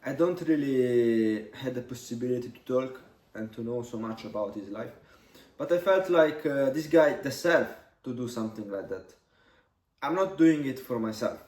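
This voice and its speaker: A man in his twenties from Italy, a native Italian speaker, telling a personal story in English for his vlog, halting with many pauses. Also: medium pitch, expressive, a little mellow. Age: 20s. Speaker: vlogger. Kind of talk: casual storytelling to camera. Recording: echoey room, mic at a distance.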